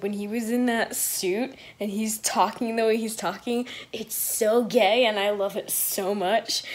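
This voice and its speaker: A very tired, drowsy woman talking casually and ramblingly as the host of a reaction video. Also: medium pitch.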